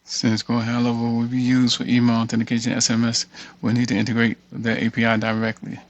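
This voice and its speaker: A man's voice: mid-range voice, completely flat delivery, quick pace. A man giving an informal screen-share walkthrough, thinking aloud and muttering as he reads text off the screen. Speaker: tutorial creator.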